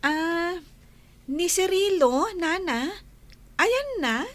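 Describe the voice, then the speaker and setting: Sweet young maiden, Ilocano accent, slow and very high, radio comedy drama. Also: female voice, animated, full character voice. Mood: sweet, obliging.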